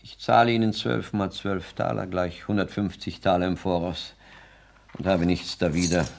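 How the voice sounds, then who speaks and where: A deep-voiced, pompous self-styled artist. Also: male voice, steady tone, normal speed, creaky, slight background noise. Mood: lofty, dismissive. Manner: high-flown, solemn, declamatory. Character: pompous self-styled artist lodger, a grandiloquent man of action. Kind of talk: 1960s German radio play acting.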